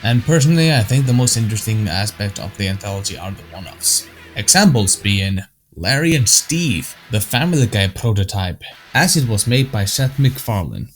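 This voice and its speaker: A man's voice: lowish voice, lively intonation, normal speed, faint music behind. A young man whose first language is Icelandic, reading scripted commentary in a blunt, opinionated way with casual swearing. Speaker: cartoon retrospective host.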